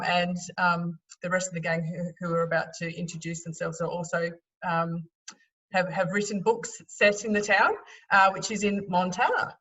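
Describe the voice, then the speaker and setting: A woman moderating a panel in a casual, unscripted way, halting often with lots of ums. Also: lowish voice, a bit bright. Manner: hesitant, halting delivery with many ums. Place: remote video call. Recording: dull audio, distant from mic.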